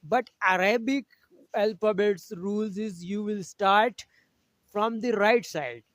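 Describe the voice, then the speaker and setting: A man from Pakistan whose first language is Urdu, teaching a Quran lesson over a video call in halting English with many pauses. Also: high voice, a bit bright, dry recording. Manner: halting English with frequent pauses and throat-clearing.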